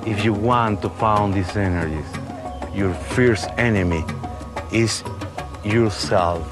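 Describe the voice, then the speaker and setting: A man speaking as a keeper of an Aztec tradition, giving a slow, solemn ceremonial address outdoors in a forest. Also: on the low side, over music.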